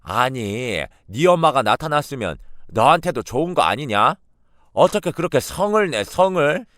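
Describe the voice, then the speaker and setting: A man's voice: medium pitch, big pitch swings, moderate pace, a bit bright, no room sound, studio quality, pitched above the performer's own voice. A man voicing a bitter, grumpy old grandmother who scolds defensively, in a read-aloud story. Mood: defensive scolding.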